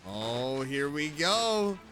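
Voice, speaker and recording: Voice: medium pitch, big pitch swings, drawn-out words, fairly bright tone. A streamer giving casual, playful commentary while playing a video game and reacting to it. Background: game music.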